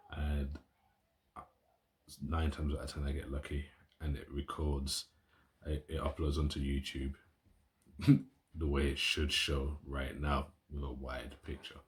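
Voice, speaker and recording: A man chatting casually to his phone camera at home, rambling and enthusiastic as he shows off his gear. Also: a bass voice. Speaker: YouTuber. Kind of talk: casual vlog talk to camera. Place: indoors at home, at a table. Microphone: phone camera, built-in mic.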